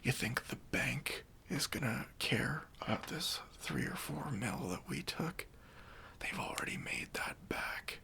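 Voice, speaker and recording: Guy doing a nice bank robber asmr, breathy and crisp whispery voice w some crackle, gentle n comforting. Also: medium pitch, lively intonation, full character voice. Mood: earnest, conspiratorial. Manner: slow, gentle, casual and reassuring. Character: kindly, reassuring male bank robber comforting a nervous accomplice. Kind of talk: soft-spoken ASMR roleplay.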